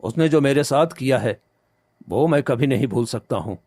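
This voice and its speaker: A man's voice: medium pitch, expressive, normal speed, a little mellow, no echo, close to an everyday voice. A poor but proud village farmer from a cartoon moral tale, voiced by a man. His mid-range voice is earnest and emotional, recorded dry and close. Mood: indignant. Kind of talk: Hindi animated moral story dialogue.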